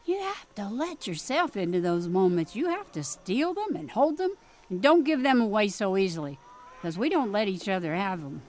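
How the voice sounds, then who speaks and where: A woman's voice: mid-range voice, big pitch swings, normal speed, darkish tone, close to an everyday voice. An actress with a Midwestern accent playing a pushy, folksy small-town mother in an audio drama. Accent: Midwestern American. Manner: folksy, scolding, plain-spoken. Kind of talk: period radio drama acting.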